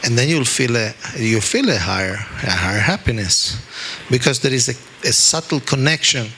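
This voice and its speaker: A man with an Italian accent, speaking English as a second language, teaches a scripture class with lively, self-deprecating asides. His voice is bright and forceful. A faint hum of room noise sits behind him. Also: mid-range voice, normal speed. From Italy. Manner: animated, self-deprecating, frequent "okay?" asides. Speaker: spiritual teacher.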